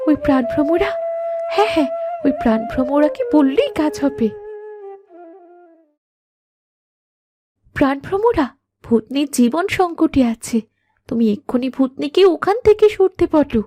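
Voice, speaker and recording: A woman's voice: sits high, sing-song, moderate pace, dark tone, soft background music. A woman playing a kind young village wife in a Bengali cartoon story, coaxing and earnest. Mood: frantic pleading. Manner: pleading, coaxing.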